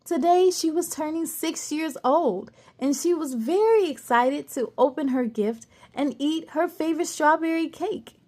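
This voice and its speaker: A woman reading a children's story aloud expressively, adding gasps and excited asides. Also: high voice, unhurried, few pauses.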